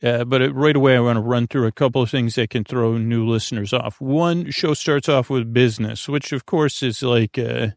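A man with a creaky voice hosting a bedtime podcast, speaking in a lulling, gentle, meandering way, hesitating and drifting into tangents. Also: mid-range voice, normal speed, few pauses, no room sound, studio quality. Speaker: host of a bedtime story podcast. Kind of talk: rambling, soothing bedtime monologue.

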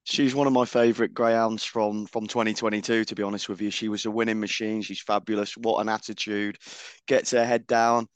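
A man with a croaky voice, co-hosting a podcast in loose, casual chat. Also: on the low side, level tone, fairly quick, no room sound. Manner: chatty, loose, with many restarts. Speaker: co-host.

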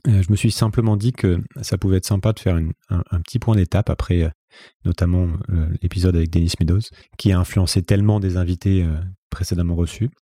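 A man's voice: low-pitched, fairly even intonation, fast talker, dark tone, dry recording, studio quality. A forty-year-old man from the Paris region with a Parisian French accent, speaking as a podcast host in a conversational, reflective solo monologue with a self-effacing manner. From France, Paris region.

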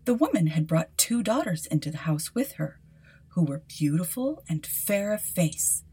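A woman's voice: on the low side, sing-song, normal speed, dark tone, slightly rough. A woman podcast host reading a fairy tale aloud as dramatic scripted narration.

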